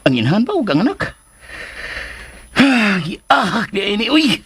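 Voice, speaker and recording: A man's voice: sits high, sing-song, measured pace, fairly bright tone, breathy edge, full character voice. Swooping, stammering meddlesome old man. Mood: scheming. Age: older. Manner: stammering, hesitant, exclaiming. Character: meddlesome, boastful old man wheedling for an invitation. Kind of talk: Filipino radio drama acting.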